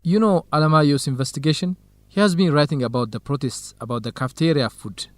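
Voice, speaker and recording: A man acting a gentle, supportive young researcher and loyal friend in a radio drama, speaking in measured, carefully enunciated lines. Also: a little high, no echo, natural voice. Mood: hesitant, uneasy. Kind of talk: radio drama acting, clear dialogue for language learners.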